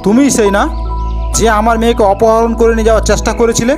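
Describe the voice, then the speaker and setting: A man voices a commanding cartoon king and worried father. He declaims imperiously in a fairly high voice. Music runs clearly underneath. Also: flat delivery, fast. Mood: accusing. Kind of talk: cartoon fairy-tale character voice.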